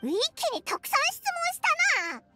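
A woman voicing a small, cheeky, childlike fairy companion in a Japanese game, squeaky and full of exclamations, with rough boyish wording. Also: brisk, lightly acted. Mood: amazed. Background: music.

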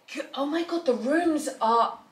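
A woman's voice: high voice, unhurried. A young woman from England filming a casual vlog, gushing and exclaiming as she looks around a house being renovated. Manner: gushing, exclamatory, laughs often. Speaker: vlogger. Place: house under renovation, indoors.

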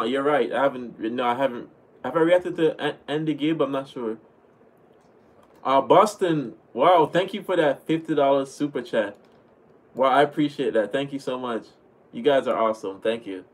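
A young man with a Jamaican accent talks easily to his viewers on a home livestream, answering chat questions and thanking them as he goes. His voice sits at an everyday middle pitch, and it is picked up by a webcam mic in a small room. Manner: relaxed, chatty, thanks viewers often. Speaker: livestream host reading chat. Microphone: webcam at desk.

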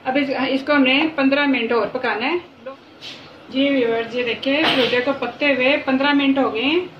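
A woman's voice: high-pitched, fairly even intonation, moderate pace. A woman hosting a home cooking tutorial in her kitchen, giving simple, repeated instructions and encouragement. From Pakistan. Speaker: cooking channel host. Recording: echoey, dull, noisy home recording.

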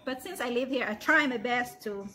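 A chatty woman from the Philippines with a Visayan accent and a high voice talks casually to her camera in her living room. She is in her forties and laughs often. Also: normal speed, sharp tone. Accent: Visayan Filipino. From Bohol, Philippines. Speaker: vlogger. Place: home living room, indoors. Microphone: camera at arm's length, then on a stand.

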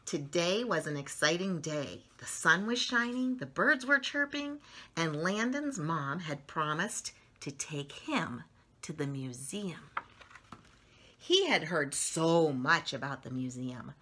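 A middle-aged woman reading a story aloud for children, expressively and with drawn-out emphasis on words. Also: low-pitched, lively intonation, sharp tone, a little hiss or hum. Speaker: children's story channel host reading picture books aloud. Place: small furnished room at home, indoors.

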